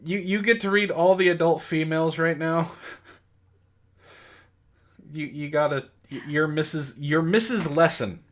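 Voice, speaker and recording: A man's voice: fairly high pitch, unhurried, long pauses. A man hosting a casual comedic read-aloud, breaking off to react with amused exasperation and swearing. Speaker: host of a comedy book club reading. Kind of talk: casual read-aloud of bad fan fiction with amused commentary.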